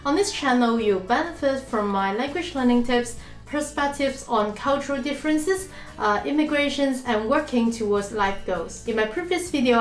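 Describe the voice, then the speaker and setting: A woman's voice: fairly high pitch, reverberant. A woman from Hong Kong giving an earnest, emphatic scripted explainer as a language-learning vlogger. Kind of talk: scripted explainer talk to camera.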